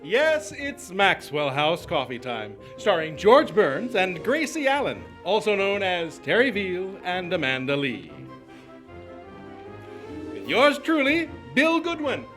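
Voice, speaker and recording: A man playing an old-time radio show announcer, reading sponsor lines with a booming showy pitch. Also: big pitch swings, slow, a bit bright, faint music behind, exaggerated voice.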